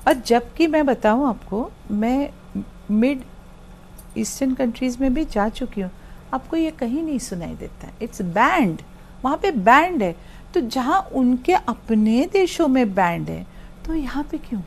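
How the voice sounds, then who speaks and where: A woman with a clearly high-pitched voice speaks off the cuff, as if interviewed. She is conversational and slips English words into her sentences. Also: expressive, a little slow, a little mellow, a little hiss or hum. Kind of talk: spontaneous interview opinion.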